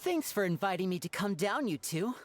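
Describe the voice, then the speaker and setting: A woman voicing a friendly, excitable young character in an anime game, polite and enthusiastic. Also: medium pitch, fairly quick, slight background noise, lightly acted. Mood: grateful, delighted.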